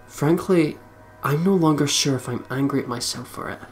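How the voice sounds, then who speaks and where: Expressive let's player reading over music. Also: medium pitch, moderate pace. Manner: expressive narration with swearing and joking asides. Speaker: let's play commentator. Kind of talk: reading a visual novel aloud with casual reactions. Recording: music behind the voice. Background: game music.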